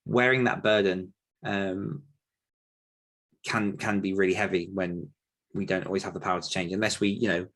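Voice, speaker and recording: Man, deep voice, reflective, diplomatic, casual podcast discussion. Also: steady tone, fairly bright tone. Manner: measured, diplomatic, hedging. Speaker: podcast host.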